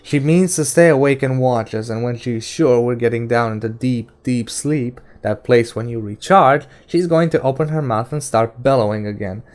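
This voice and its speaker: A man's voice: medium pitch, normal speed, hardly any pauses, a little mellow, natural voice. A man voicing a weary, wary man in an audiobook, grumbling to himself in exasperation.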